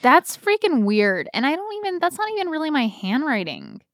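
A woman's voice: high voice, big pitch swings, fairly quick, a bit bright, dry recording, studio quality. A woman in her thirties with an American accent, telling stories in casual podcast chat with a self-mocking, sweary, playfully boastful manner. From United States. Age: 30s. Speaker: podcast co-host. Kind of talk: casual comedic chat, storytelling.

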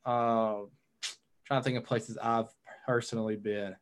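A young man with a Southern US accent chats as a podcast guest in an easygoing, laid-back way. His voice sits at an ordinary middle pitch. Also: flat delivery, unhurried, clear, resonant tone. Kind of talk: casual podcast chat.

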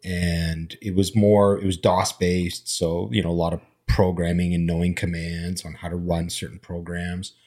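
Middle-aged man whose first languages are Armenian and Arabic, deep husky voice, relaxed reflective talk. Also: normal speed, few pauses. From immigrant, grew up speaking Armenian and Arabic. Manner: reflective, storytelling, frequent fillers. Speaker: panel guest. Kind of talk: casual conversation.

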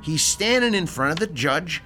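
A man's voice: on the high side, lively intonation, normal speed, music in the background, natural voice. A man playing a grouchy sarcastic landlord. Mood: musing. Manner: sarcastic, grumbling, blunt. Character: grouchy sardonic male building landlord. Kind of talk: audio drama acting.

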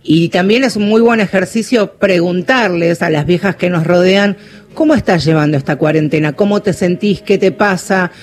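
A woman's voice: on the low side, normal speed, darkish tone. An Argentine woman hosting a radio program, engaged and direct. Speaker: radio host.

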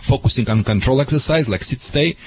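A man's voice: mid-range voice, brisk, a little hiss or hum. A man giving dog training advice to a radio caller, in broken, emphatic English.

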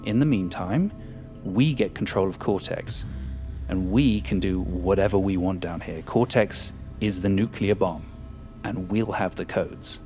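Smug, scheming hacker over music. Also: male voice, deep voice, a little slow, mellow. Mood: scheming, insistent. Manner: smug, cynical, sardonic. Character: arrogant, scheming male hacker hungry for power. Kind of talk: audio fiction acting.

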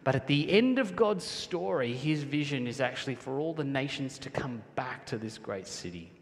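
A man's voice: medium pitch, lively intonation, normal speed. The man you would hear from the pulpit on a Sunday, a pastor from Sri Lanka rising and dipping expressively as his words ring around a big echoing church. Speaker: pastor preaching to his congregation. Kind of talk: sermon. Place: large church hall, speaking to a congregation. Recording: echoey hall with long reverb.

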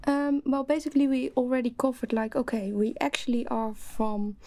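Dutch-accented young woman, mellow and hesitant. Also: a little high, flat delivery. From Netherlands. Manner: hesitant, many pauses and ums. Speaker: PhD student guest. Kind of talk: podcast interview.

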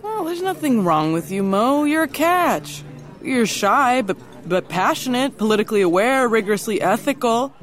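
An actress playing a teasing, supportive best friend in an audio drama. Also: female voice, on the high side, big pitch swings, normal speed, faint music behind. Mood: reassuring.

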